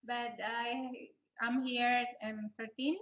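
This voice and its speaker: A woman from Peru with a Peruvian Spanish accent, chatting in halting, simple non-native phrases. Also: a little high, little pitch movement, fairly bright tone. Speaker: podcast guest, dance instructor. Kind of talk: casual chat.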